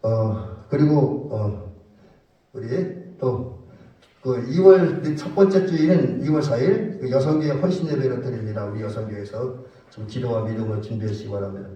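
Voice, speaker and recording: A male pastor with a stuffy nose and slightly hoarse voice from a cold, giving plain, hesitant church announcements and a benediction. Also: mid-range voice, normal speed, warm tone, dull audio. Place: church sanctuary. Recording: echoey church hall, mic far off.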